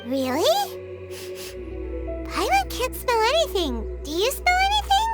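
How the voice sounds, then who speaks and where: Game fairy sidekick, tiny and squeaky, hugely lively delivery. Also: female voice, measured pace, loud music behind the voice, exaggerated voice. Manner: high, squeaky, childlike and bubbly. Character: tiny floating female fairy companion, chirpy and excitable. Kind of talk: video game voice acting.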